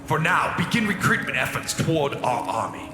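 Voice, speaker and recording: A man's voice: on the high side, very animated, moderate pace. An actor playing a proud, weary fantasy king who speaks in grand, formal, archaic phrases for an audio drama. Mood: grave, commanding. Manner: formal archaic speech, declamatory. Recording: loud music over the voice.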